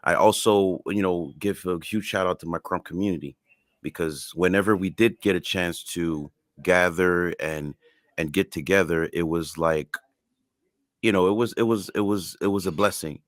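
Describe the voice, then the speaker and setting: A man's voice: sits low, moderate pace, no room sound, studio quality. A man whose first language is French, speaking English as a music artist guest in a casual interview, earnest and emphatic.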